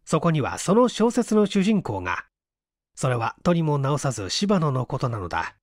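A male narrator reads fiction in a measured, dramatic way. His voice is on the high side with animated intonation. The recording is very dry and close. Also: no room sound. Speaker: audiobook reader.

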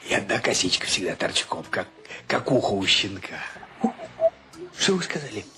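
An actor playing a fussy, sentimental ship's captain who rambles hesitantly in a comedy radio play. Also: male voice, fairly low pitch, very animated, moderate pace, background noise. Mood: wistful, hesitant. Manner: hesitant, throat-clearing, rambling.

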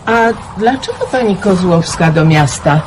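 A woman's voice: fairly low pitch, expressive, moderate pace, close to an everyday voice. Practical village mother played by an actress, plain and friendly; hiss behind. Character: middle-aged village mother, kind and practical. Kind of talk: radio play acting.

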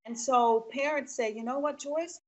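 A woman's voice: sits high, moderate pace. A woman speaking earnestly in an interview.

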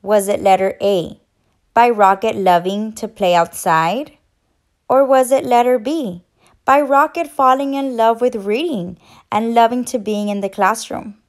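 Casting: female teacher for kids' read-aloud, high voice, very unhurried, encouraging and instructional. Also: steady tone. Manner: instructional, encouraging, addressing young children. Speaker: teacher and children's story reader. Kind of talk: children's read-aloud and quiz.

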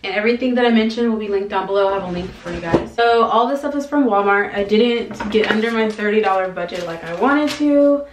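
A woman's voice: medium pitch, fairly quick, few pauses. A young American woman chatting casually for a vlog, thinking aloud in an informal way as she goes. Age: young adult. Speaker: lifestyle vlogger. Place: small tiled bathroom, indoors. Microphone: camera mic at arm's length or propped nearby. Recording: echoey bathroom, clear household clatter noise.

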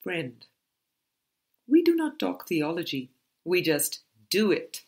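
A woman reading a book aloud as an audiobook narrator, in measured, deliberate phrases. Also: fairly low pitch, big pitch swings, darkish tone, slightly rough. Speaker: audiobook reader.

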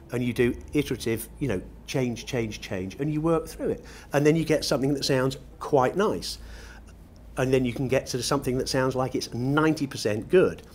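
A middle-aged British man explaining his work in an unscripted interview, articulate and ornate in his wording. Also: medium pitch. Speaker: loudspeaker designer being interviewed. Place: large glass-roofed exhibition show room. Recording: noisy exhibition room ambience.

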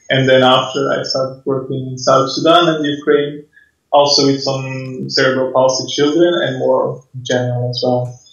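Flat-voiced Belgian physio on a roomy line. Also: male voice, medium pitch. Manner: measured, explanatory, frequent fillers. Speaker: physiotherapist guest. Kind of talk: online course interview. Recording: roomy, dull lo-fi sound.

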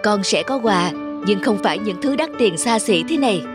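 A woman voicing a caring, principled mother in an animated moral tale, speaking in a firm but gentle way. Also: medium pitch, normal speed, lightly acted. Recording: background music under the voice.

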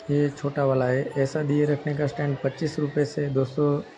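A man from India, narrating a casual shopping vlog with hesitant, halting phrasing. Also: a little high, completely flat delivery, dark tone. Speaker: vlogger. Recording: dull lo-fi audio with background noise.

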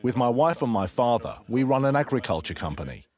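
A man's voice: medium pitch, big pitch swings, brisk, a little mellow. A man, a farmer giving a matter-of-fact customer testimonial. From Belgium.

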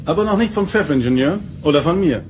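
An actor playing a firm, composed starship first officer in an audio drama, formal and matter-of-fact. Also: male voice, a little high, expressive, fast talker, noisy background, close to an everyday voice. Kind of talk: German audio drama acting.

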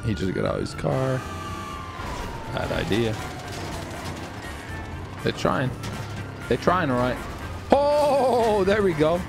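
A man hosting a gaming video, giving excitable roleplay gameplay commentary, talking to himself, swearing and breaking into shouts. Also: on the high side, slow, vocal fry, pauses often, over music. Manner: excitable, swearing, shouting outbursts, self-talk.

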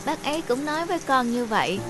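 Cartoon schoolboy: high voice, sickly, polite, soft-hearted, music underneath. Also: female voice, animated, fast talker. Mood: sad, earnest. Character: gentle, sickly young schoolboy, polite and compassionate. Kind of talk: cartoon dubbing. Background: background music.

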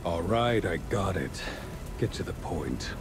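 A man's voice: sits low, expressive, measured pace, background noise, heavily acted. A man voicing a blunt, hot-headed gang brawler in a game. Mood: gruff. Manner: blunt, gruff.

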